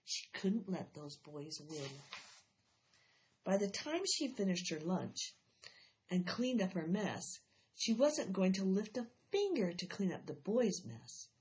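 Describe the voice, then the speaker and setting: A woman reads a children's chapter book aloud with expressive, animated storytelling. Her voice sits in a middle pitch. The recording sounds slightly dull and lo-fi.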